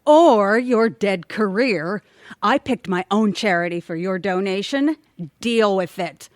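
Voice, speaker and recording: A woman's voice: mid-range voice, lively intonation, slow, no echo, studio quality. An actress playing a vindictive, imperious businesswoman with a sneering delivery in a comedic audio play. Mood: scornful. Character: haughty, vindictive, hot-tempered scheming businesswoman. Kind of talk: comedic audio theatre acting.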